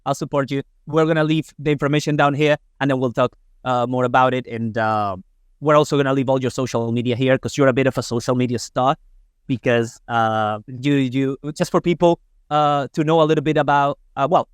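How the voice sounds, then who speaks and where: A man's voice: medium pitch, clear, resonant tone, no room sound, studio quality. A man from Spain hosting a podcast in English, rambling with many fillers and hesitations. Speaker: podcast host. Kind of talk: casual interview.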